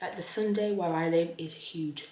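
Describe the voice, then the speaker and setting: A woman's voice: lowish voice, moderate pace, echoey room, slight background noise, low-quality recording. Chatty woman vlogger. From Leeds, northern England. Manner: rambling and animated, lots of fillers, exclaims in disbelief. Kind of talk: casual chatty vlog while doing makeup.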